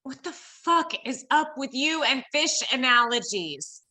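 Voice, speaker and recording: Woman acting a brash, bright high-voiced woman. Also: lively intonation, measured pace, full character voice, rough recording. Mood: exasperated. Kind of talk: comedic script table read.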